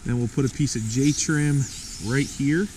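A man's voice: mid-range voice, a little slow, darkish tone, slight background noise. A man filming himself on a snowy outdoor building site with a head-mounted camera, explaining his work in a plain, practical way. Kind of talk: casual how-to walkthrough. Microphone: head-mounted action camera.

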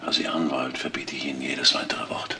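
An actor playing a shady, commanding lawyer in a crime radio play, husky, assured and formal. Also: male voice, rumbling low, little pitch movement, fast talker, bright, forceful tone, whispered, heavily acted. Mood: warning. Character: smooth-talking, commanding, shady male lawyer.

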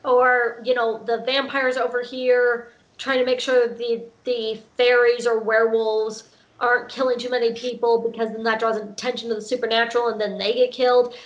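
A woman's voice: fairly high pitch, little pitch movement, normal speed. A woman on an online panel, speaking casually and opening her turns with hesitant fillers. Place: home room. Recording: muffled video call audio.

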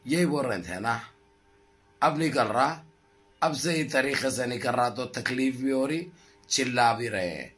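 A middle-aged man with a hoarse throat gives impassioned political commentary to his viewers in emphatic, repetitive, rhetorical phrases. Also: mid-range voice, measured pace, fairly bright tone. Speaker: news commentary vlogger. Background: background music.

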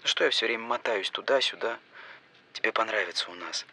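Bright, anxious son, faint noise. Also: male voice, lowish voice, a little fast. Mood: pleading, hushed. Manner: insistent, intimate, confiding. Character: anxious, pleading adult son leaving voice messages for mother. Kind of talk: scripted audio film acting, voice-message monologue.

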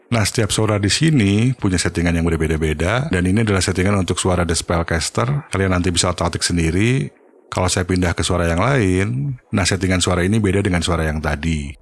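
A man giving an informal, step-by-step software tutorial. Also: deep voice, vocal fry, husky. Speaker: tutorial host.

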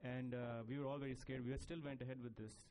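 A man's voice: mid-range voice, little pitch movement, quick pace, a little mellow, slight background noise. A man on a conference panel, talking with many fillers and restarts about making a film.

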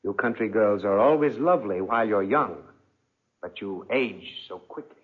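Pompous older husband, tinny old radio. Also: male voice, lowish voice, normal speed, slightly rough. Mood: condescending. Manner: pompous, condescending, formal. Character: cold, pompous, controlling older French husband. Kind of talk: 1940s radio drama acting. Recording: thin, tinny 1940s radio recording.

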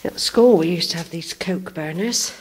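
A woman's voice: fairly low pitch, normal speed, a little mellow, a little hiss or hum, low-quality recording. A woman with a West Country English accent giving a casual running commentary while filming a country church, chatty and trailing off as she goes. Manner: chatty, talks to herself, trails off. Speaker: vlogger touring a church. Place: outdoors by a country church, then inside it. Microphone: handheld camera mic.

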